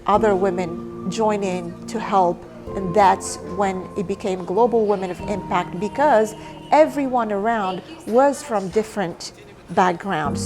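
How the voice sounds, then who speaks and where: A woman from Morocco giving a personal interview as the founder of an organization. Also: medium pitch. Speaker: founder and chairwoman of a women's organization. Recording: clear music behind the voice. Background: background music.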